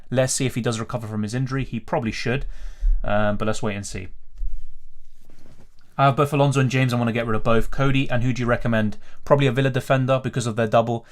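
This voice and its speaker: A man's voice: mid-range voice, fairly even intonation, quick pace. A man from Argentina hosting a fantasy football livestream, casually reading out and answering viewer questions in a conversational, off-the-cuff way as he thinks aloud.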